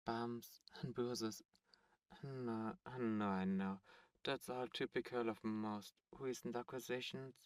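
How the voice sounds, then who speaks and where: A man playing a haughty, teasing demon buyer in an ASMR roleplay audio drama, speaking with smug, suave deliberation and dramatic pauses. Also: medium pitch, smooth, no room sound, far from the mic, lightly acted. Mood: cool, calculating.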